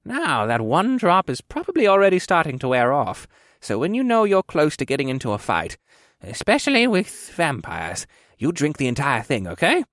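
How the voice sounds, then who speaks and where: Man voicing a spry, high-pitched old quartermaster. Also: huge pitch swings, normal speed, fairly bright tone, no echo, studio quality. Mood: instructive. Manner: chuckling, instructive. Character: frail, spry old male quartermaster potion-maker, amused and patient. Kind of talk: audiobook character dialogue.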